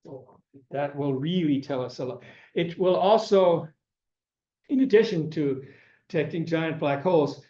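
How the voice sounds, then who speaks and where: An older man giving a classroom lecture, halting and repeating words, who is losing his voice and keeps clearing his throat. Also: on the high side, mellow. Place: lecture room. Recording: echoey room, dull lo-fi sound.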